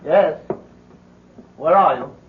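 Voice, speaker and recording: An actor playing a calculating occult professor in a radio drama, speaking in a measured way. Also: male voice, a little high, a little hiss or hum. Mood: placating. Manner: measured, placating, lecturing. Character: smooth, calculating male university professor of the occult. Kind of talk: 1970s radio drama acting. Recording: slightly dull old radio recording.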